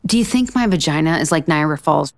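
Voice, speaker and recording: A woman's voice: low voice, animated, quick pace, no echo, studio quality. An actress playing a blunt, exasperated single woman in a romantic comedy audio drama, sarcastic, emphatic and profane. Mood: indignant ranting. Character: blunt, exasperated, foul-mouthed single woman, sharp-tongued cynic.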